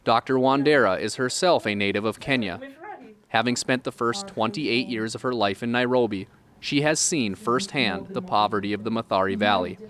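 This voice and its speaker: A man's voice: medium pitch, normal speed, fairly bright tone. A man reading scripted narration for a local television news feature.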